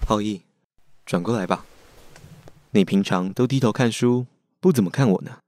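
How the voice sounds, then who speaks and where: A man's voice: fairly low pitch, normal speed, a little mellow, dry recording, clean studio sound, natural voice. A man playing a cheerful, persistent teenage schoolboy with a crush in a radio drama, speaking with a Taiwanese accent in a playful, earnest way. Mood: playful, inviting. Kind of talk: Mandarin boys' love radio drama acting.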